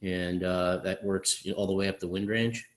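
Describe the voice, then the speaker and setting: A man's voice: low-pitched, steady tone, normal speed. A man on a racing sailboat's crew, describing a maneuver step by step in a methodical way. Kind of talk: webinar explanation. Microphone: video call.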